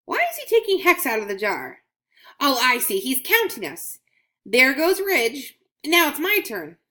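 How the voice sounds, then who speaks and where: A woman voicing an excitable, curious, chatty little coin from a children's storybook, with bubbly exclamations. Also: high-pitched, unhurried, pitched above the performer's own voice, full character voice. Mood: puzzled, eager.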